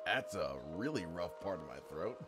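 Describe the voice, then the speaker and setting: A man's voice: low voice, very animated, normal speed, music in the background. A giggly, gushing man, a voice actor chatting casually to his viewers. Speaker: voice actor, channel host.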